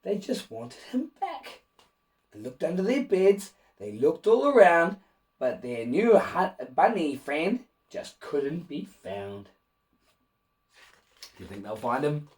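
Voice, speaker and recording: Animated man reading a children's story. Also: on the high side, slow, distant mic. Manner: animated, with asides and questions to young listeners. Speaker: children's storybook reader and host. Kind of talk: expressive read-aloud of a rhyming children's picture book.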